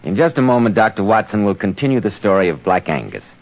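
A man announcing in 1940s radio style, reading a scripted sales pitch in a polished, emphatic, persuasive way. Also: very animated, fast talker, soft background music. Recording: dull 1940s radio recording.

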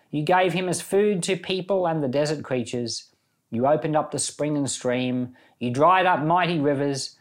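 A man with a mid-range voice speaks as a pastor hosting a Bible podcast. He delivers scripture and commentary in an explanatory way, as someone teaching step by step. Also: mid-range voice. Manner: explanatory, reasoning through options step by step. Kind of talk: scripture reading followed by informal teaching commentary.

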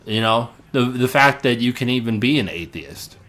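A man's voice: mid-range voice, animated, normal speed, a bit bright. A middle-aged man from Illinois hosting a solo podcast, thinking aloud in a rambling way, restarting and repeating words, with small laughs and casual swearing. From Illinois, United States. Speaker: podcast host.

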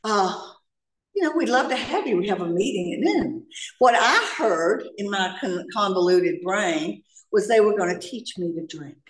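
A woman's voice: medium pitch, animated, normal speed, far from the mic. Woman speaking in an echoey hall. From North Carolina. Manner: humorous storytelling, laughs often. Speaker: AA meeting speaker. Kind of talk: personal recovery story. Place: church meeting hall.

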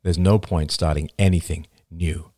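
A man's voice: deep voice, fairly even intonation, a little mellow, dry recording. A man playing a grave, commanding male conference speaker with a solemn, urgent manner in an audiobook. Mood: grave, gentle. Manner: solemn, deliberate warnings, barking when roused.

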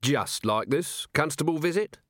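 A man's voice: medium pitch, expressive, measured pace, a bit bright, no room sound. A man voicing a weary, impatient police commander in an audiobook, with a dry, exasperated manner.

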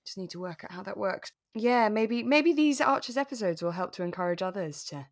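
A woman co-hosting a podcast in casual, animated chat about a radio drama. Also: medium pitch, a little fast. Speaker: podcast co-host.

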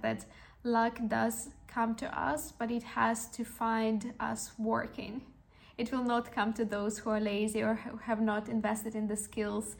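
Young woman podcaster, kinda even tone with lots of fry, earnest pep-talk storytelling. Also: fairly high pitch. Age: young adult. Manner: earnest, reflective, motivational. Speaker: podcast host. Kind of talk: personal storytelling monologue.

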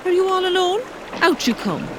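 A woman's voice: extremely high pitch, animated, normal speed, background noise. A woman playing a doting, sweetly coaxing old cottage woman in a fairy-tale audio drama. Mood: fussing.

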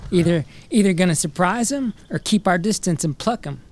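An actor playing a volatile, scheming frontier timber man, with an American accent and rough, folksy speech. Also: high-pitched, expressive, brisk. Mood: grimly eager. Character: volatile, hard-bitten frontier brother, a scheming timber claimant. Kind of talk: dramatic film dialogue reading.

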